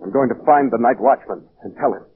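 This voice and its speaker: A man's voice: mid-range voice, fast talker, slightly rough. Anguished, sensitive man, thin vintage radio sound. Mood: grave, desperate. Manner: earnest, dramatic delivery. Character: sensitive, anguished, lovelorn man writing a desperate diary. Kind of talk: old-time radio drama acting. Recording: thin, phone-like vintage radio recording, music behind.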